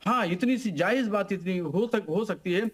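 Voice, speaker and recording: A man speaks as a cleric in a live news debate. His voice is high for a man. He argues emphatically, repeating points and posing rhetorical questions. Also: normal speed, husky.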